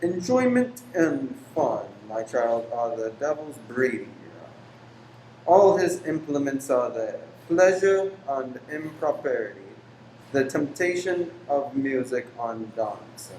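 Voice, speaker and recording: A man's voice: mid-range voice, big pitch swings, slow pace, darkish tone. Man acting stern, preachy lodger in echoey room. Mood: preaching. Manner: formal, archaic, preachy phrasing. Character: stern, pious, secretive male lodger preaching against pleasure. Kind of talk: radio play acting. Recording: echoey room with faint noise.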